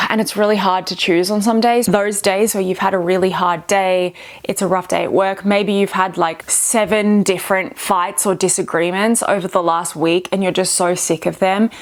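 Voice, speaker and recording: A woman's voice: mid-range voice, fairly even intonation, normal speed. A woman hosting a reaction video, giving earnest, emphatic commentary on marriage advice. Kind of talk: casual commentary on relationship clips.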